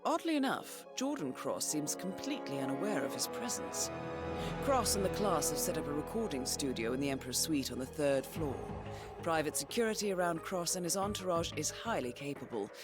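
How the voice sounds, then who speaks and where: A woman playing a cool, authoritative intelligence handler in a game cutscene, speaking formally and with measured, dry knowingness. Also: sits low, lively intonation. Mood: wry, composed. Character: cool, authoritative female handler briefing an assassin. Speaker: mission handler narrating briefings. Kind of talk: scripted game cutscene voice acting. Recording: loud music under the voice.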